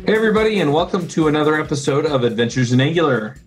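A man's voice: a little high, big pitch swings, racing, soft background music, poor audio. A man hosting a tech podcast, chatty and friendly, who laughs easily. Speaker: podcast host.

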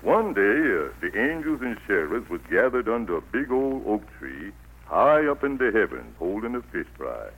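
An actor playing a kindly elderly preacher with a Southern US accent, telling stories in a folksy, patient way in 1940s radio style. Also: male voice, lowish voice, very animated, normal speed, fairly bright tone, low-quality recording. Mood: musing, storytelling. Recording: old 1940s radio broadcast, music behind.

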